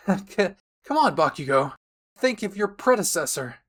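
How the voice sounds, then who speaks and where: A cheeky male anime vigilante played by a man speaks in a lifted, higher voice. He is flippant and mocking, treating danger like a game. The delivery suits a playful audio roleplay villain. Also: very animated, slow pace, heavily acted. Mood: nervous, teasing.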